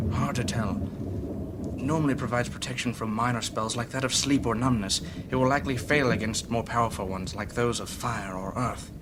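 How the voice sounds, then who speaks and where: A man's voice: medium pitch, background noise, close to an everyday voice. An actor playing an earnest, worried male mage in a fantasy audio drama.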